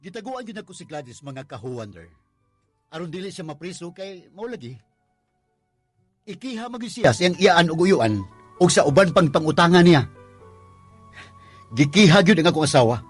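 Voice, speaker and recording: An actor with a Visayan Filipino accent playing a worried, devoted husband in a radio drama, sighing and pleading. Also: male voice, sits high, lively intonation, normal speed, pauses often, soft background music. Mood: confiding, sorrowful. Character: worried, long-suffering, devoted husband narrating his story.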